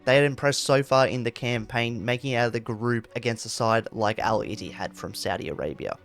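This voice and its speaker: A man's voice: medium pitch, a little fast, creaky, fairly bright tone, soft background music. A man reading scripted narration for a football history video.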